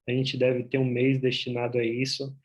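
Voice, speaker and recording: A man from Bahia, a gynecologist with a Bahian accent, giving an explanatory talk over a video call, often pausing on "é". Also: mid-range voice, completely flat delivery. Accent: Bahian Portuguese. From Bahia, Brazil. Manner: explanatory, with frequent "é" fillers. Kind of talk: online medical presentation.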